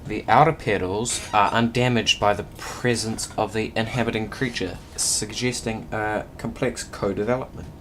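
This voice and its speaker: Male gamer, lowish pitch, casual commentary and read-aloud, slightly stumbling, mumbled delivery, noisy recording. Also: fairly even intonation, normal speed, few pauses, fairly bright tone. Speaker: video game player. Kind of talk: casual gameplay commentary, reading game text aloud. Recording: clearly noisy recording with background hum.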